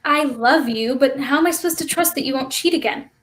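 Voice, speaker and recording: A woman's voice: high voice, fairly quick, fairly bright tone. A woman playing a reassuring, teasing child in a staged reading of a play. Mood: wry. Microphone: video call.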